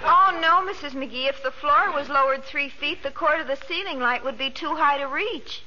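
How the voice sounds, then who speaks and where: A sweet, guileless young lodger in a 1940s radio comedy. The voice is high and bright, polite and earnest to the point of naivety. It sits in a dull old recording. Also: female voice, moderate pace, some chatter behind.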